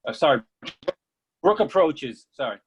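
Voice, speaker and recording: A man's voice: fairly high pitch, big pitch swings, measured pace, highs cut off, a little hiss or hum. A man reading stage directions as scripted narration.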